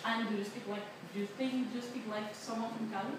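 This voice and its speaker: Colombian girl in her 20s doing a class talk, lots of uhs, kinda high voice, far off mic, super echoey room. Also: steady tone, fairly quick. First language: Spanish. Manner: chatty, with many fillers and restarts. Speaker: student presenter. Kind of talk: class presentation. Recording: echoey, noisy room from a distance.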